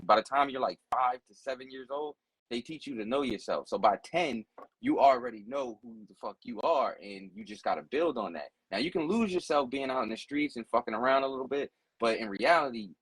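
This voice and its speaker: Casting: man in his 40s, husky voice, blunt and profane, casual storytelling. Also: mid-range voice, a bit bright, dry recording, rough recording. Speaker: guest telling his life story. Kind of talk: casual conversation.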